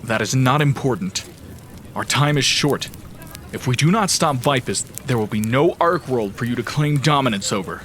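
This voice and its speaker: A man's voice: mid-range voice, lively intonation, normal speed, a bit bright, slight background noise, natural voice. A man playing a stern, righteous warden in a fantasy audio drama, speaking in a solemn, formal, commanding way. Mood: urgent, grave. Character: stern, righteous male warden, loyal to his god.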